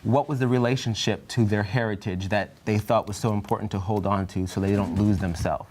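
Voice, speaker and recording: A man's voice: lowish voice, fairly even intonation, brisk, darkish tone, slight background noise. A young man in a Bible study group, talking in an earnest, reflective way and sometimes searching for words. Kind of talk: discussion group chat.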